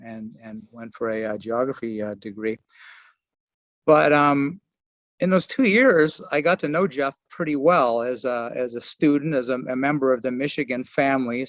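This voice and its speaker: A man in his sixties giving memorial remarks over a remote video call, halting and often restarting his sentences. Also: medium pitch, dry recording. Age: 60s. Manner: halting, searching for words with many restarts. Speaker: archaeology and geography professor giving a memorial tribute. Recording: dull lo-fi call audio.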